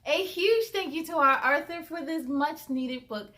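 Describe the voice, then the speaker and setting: High-voiced, slow-paced woman story host. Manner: expressive, encouraging, deliberate. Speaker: children's story time host. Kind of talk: read-aloud with encouraging commentary to children.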